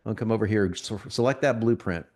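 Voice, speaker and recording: A man getting over a cold, presenting a live marketing software demo to an audience in a conversational, salesy way, often restarting his sentences. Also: fairly low pitch, very fast.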